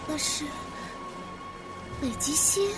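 A woman playing a gentle, lonely young girl in a radio drama, speaking in a soft, musing way. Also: takes it slowly, lightly acted. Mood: earnest wonder. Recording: prominent music under the voice. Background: loud music bed.

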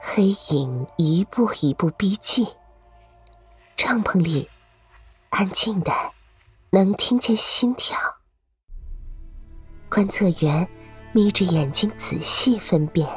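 A woman's voice: on the low side, lively intonation, takes it slowly, long pauses, husky, faint music behind. A woman reading scripted story narration for an audio drama.

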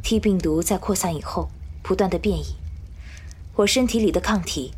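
An actress playing a tough, composed woman fighter in an audio drama, measured and commanding. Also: lowish voice, husky. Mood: grave, explaining. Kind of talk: Chinese audio drama acting.

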